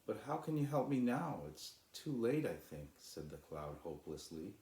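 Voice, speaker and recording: A man voicing a sad, weary, gentle female cloud in a children's storybook, apologetic and wistful. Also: fairly low pitch, very animated, moderate pace, voice pushed up. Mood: hopeless. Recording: roomy echo.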